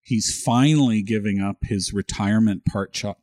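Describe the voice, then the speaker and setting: Low, husky middle-aged Canadian man. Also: a little mellow, no room sound, clean studio sound. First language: French. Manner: halting, repeats words when starting sentences. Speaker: podcast co-host. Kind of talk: casual podcast chat.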